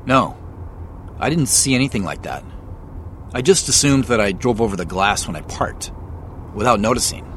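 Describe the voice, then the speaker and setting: A man's voice: lowish voice, moderate pace, pauses often. A man playing a polite, guarded driver on a phone call, giving hesitant answers in naturalistic audio drama dialogue. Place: inside a car. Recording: steady car cabin noise.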